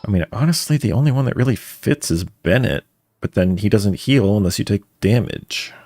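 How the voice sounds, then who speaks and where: A man's voice: on the low side, expressive, moderate pace, a little mellow, no room sound. A man giving casual live game commentary, wry and muttering to himself as he plays. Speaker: live streamer.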